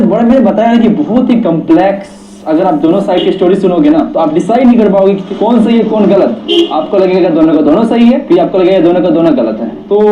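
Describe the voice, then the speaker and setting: A man in his twenties with an Indian accent, chatting casually as a travel vlogger who walks outdoors, mixing in broken English and calling viewers brother. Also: on the high side. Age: 20s. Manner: chatty, says "bhai" often, switches between Hindi and broken English. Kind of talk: casual travel vlog narration. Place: outdoors in streets. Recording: echoey, distant, dull with faint noise.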